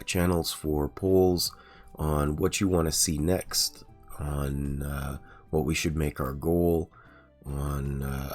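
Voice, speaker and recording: A man with a sore, raspy throat, chatting casually and rambling through let's-play commentary, with frequent ums and uhs. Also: extremely low pitch. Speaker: gaming streamer.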